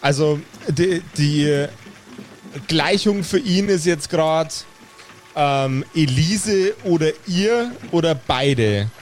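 A man's voice: fairly high pitch, lively intonation, very slow, a little hiss or hum. A man narrating an improvised tabletop role-playing game as the game master. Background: background music.

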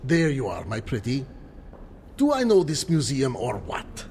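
A man's voice: mid-range voice, wildly swooping pitch, measured pace, darkish tone, in a large hall, slight background noise. A man playing an eager, theatrical, erudite scientist-adventurer in an old-time radio style adventure drama. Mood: admiring, lecturing. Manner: dramatic, erudite, grandly enthusiastic.

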